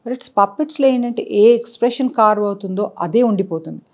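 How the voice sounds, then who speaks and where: A woman's voice: medium pitch, lively intonation, a little fast, darkish tone. A woman who produces puppet shows, explaining her work in an interview and switching between Telugu and English. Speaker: puppet show producer and founder.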